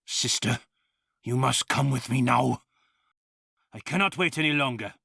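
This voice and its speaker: Desperate runaway king for a game: bright, forceful, rough with fry, grave and urgent. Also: medium pitch, measured pace, dry recording, studio quality, heavily acted. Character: desperate fleeing king, urging his priestess sister. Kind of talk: dramatic visual novel acting.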